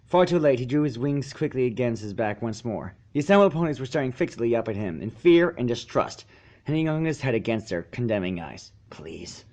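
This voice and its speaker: Guy reading a story out loud, medium pitch that moves around a lot, trips over words and rereads bits. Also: sing-song, a little fast, dull audio. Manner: stumbles and rereads phrases. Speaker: fan fiction reader. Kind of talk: scripted story narration.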